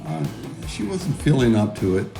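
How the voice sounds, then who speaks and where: An actor playing a guarded, middle-aged neuroscientist who speaks tersely and evasively in a naturalistic audio drama. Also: male voice, mid-range voice, big pitch swings, moderate pace, creaky, music in the background.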